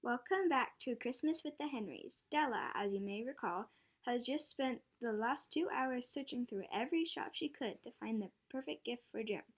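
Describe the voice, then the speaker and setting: A woman's voice: fairly high pitch, lively intonation, moderate pace. A girl of about ten acting as the composed narrator of a school radio play, reading a scripted story. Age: child of about ten.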